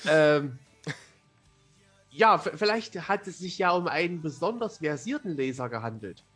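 A man with a high, somewhat raspy voice talks casually in an interview, with faint music behind him. He is wry and self-deprecating and keeps his wording colloquial. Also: animated, unhurried, a bit bright. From Germany. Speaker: fantasy author, podcast guest.

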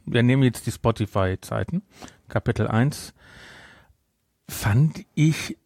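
Husky middle-aged man, dry close sound. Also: medium pitch, dark tone, dry recording. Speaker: podcast host. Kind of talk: casual podcast discussion.

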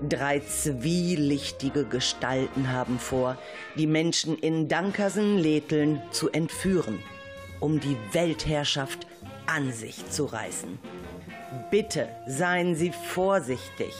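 A man acts out a formal male newsreader in an amateur radio play. He delivers a grave breaking-news bulletin at a slow, measured pace. Music plays clearly behind his voice. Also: a little high. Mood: grave, urgent. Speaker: news announcer.

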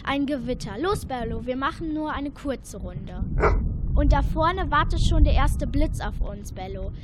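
A woman's voice: sits high, expressive, unhurried, heavy background noise. A female performer playing a cheeky, easily exasperated girl in a German radio play. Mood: wry.

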